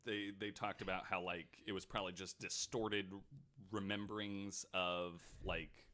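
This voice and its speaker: A man hosting a podcast, reacting to stories with dry, sarcastic quips and loud groans of disgust. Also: sits low, flat delivery, measured pace. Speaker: podcast host. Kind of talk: casual chat.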